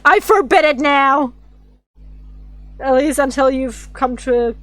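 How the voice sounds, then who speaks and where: A woman streamer with a slightly raspy voice, rambling and thinking aloud as she draws on a casual livestream. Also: sits high, slow pace, a bit bright. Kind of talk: casual drawing livestream chat.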